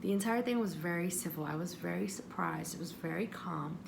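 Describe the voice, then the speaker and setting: Female vlogger, casual and digressive, gravelly fry, self-interrupting, with clear background noise. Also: fairly low pitch, brisk. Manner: self-interrupting, repeats phrases for emphasis. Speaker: vlogger giving audition advice. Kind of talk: casual rambling advice chat.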